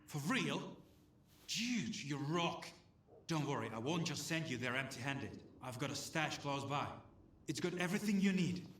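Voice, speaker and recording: An actor playing a friendly, slangy scavenger in a video game, speaking casually with some hesitation. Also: male voice, fairly high pitch, lively intonation, normal speed, fairly bright tone, echoey room, a little hiss or hum. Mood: relieved, eager. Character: chatty scavenger stalker man asking a stranger for help. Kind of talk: video game dialogue acting.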